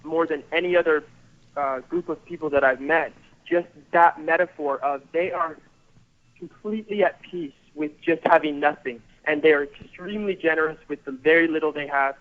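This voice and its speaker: A man's voice: a little high, normal speed. A man in his thirties, a photographer guest, talking earnestly and rambling a little, repeating words as he goes. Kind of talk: casual interview over the phone. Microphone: phone. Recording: tinny phone line.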